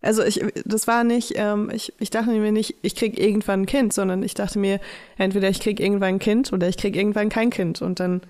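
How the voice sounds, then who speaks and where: A woman's voice: mid-range voice, little pitch movement, fairly quick, darkish tone. A woman in her late twenties, co-hosting a podcast, talking in a reflective, colloquial, hedging way. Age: 20s. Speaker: podcast co-host. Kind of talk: casual podcast chat.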